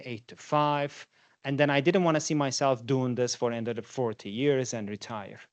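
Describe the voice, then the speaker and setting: Man, 40s, from Turkey, native Turkish speaker, guest industry talk, mid pitch, dry meeting recording. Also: dry recording. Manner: conversational, asks the audience questions. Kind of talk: guest lecture to students.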